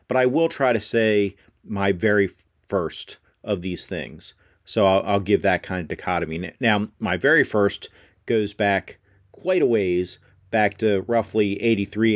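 A man in his fifties hosts his podcast alone in a relaxed, conversational way. His voice sits on the low side and is recorded dry and close, with no room sound. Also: no echo. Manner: conversational, thinks aloud with small self-corrections. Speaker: podcast host. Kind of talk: solo podcast talk.

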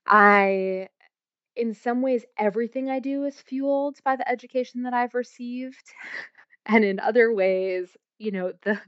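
A woman's voice: fairly high pitch, measured pace, no room sound. Thoughtful woman coach, dull lo-fi sound. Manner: thoughtful, searching, with frequent fillers. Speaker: guest, coach and energy healer. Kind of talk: reflective interview talk.

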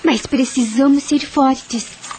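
A gentle but brave young woman in a radio drama, played by an actress. Her voice is high and soft-toned, earnest in delivery, over faint background noise. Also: takes it slowly. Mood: earnest, reassuring.

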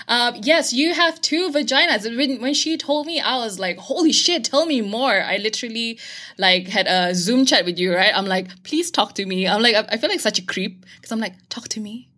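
A woman hosting a podcast, chatting casually, excitable and gushing. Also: fairly high pitch. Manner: excitable, gushing, laughs often. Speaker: podcast host. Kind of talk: casual interview chat.

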